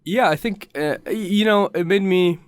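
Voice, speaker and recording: A man who grew up in the United States, a podcast guest speaking thoughtfully in casual conversation, with halting restarts. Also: sits high, clean tone, no room sound, clean studio sound.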